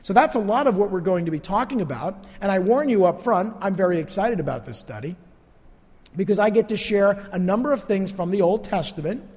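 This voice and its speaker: A man's voice: high voice, animated, brisk, darkish tone. A male pastor teaching a sermon in an earnest, emphatic preacher's manner. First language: not Spanish. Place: church sanctuary. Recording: church hall reverb.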